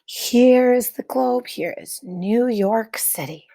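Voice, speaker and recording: A woman reading a picture book aloud like a teacher, expressively, with deliberate dramatic pauses and emphasis for young listeners. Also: fairly high pitch, warm tone. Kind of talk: expressive children's read-aloud narration.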